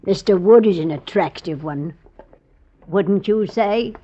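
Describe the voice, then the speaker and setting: An actress playing a nosy neighbour gossip with sly, sing-song, old-fashioned speech in a radio drama. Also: female voice, medium pitch, measured pace, warm tone, close to an everyday voice. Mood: teasing, prying. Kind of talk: 1950s radio drama acting. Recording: dull, noisy old radio recording.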